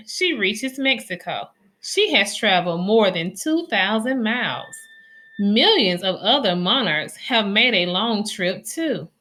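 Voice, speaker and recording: A woman reading a children's nonfiction story aloud in a deliberate, instructional way for young listeners. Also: fairly high pitch, sharp tone.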